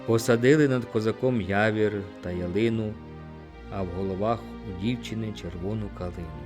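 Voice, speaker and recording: A man with a fairly low voice reads poetry as an audiobook narrator. His delivery is expressive and theatrical, acting out the quoted lines. Music plays clearly behind him. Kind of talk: expressive dramatic poetry recitation.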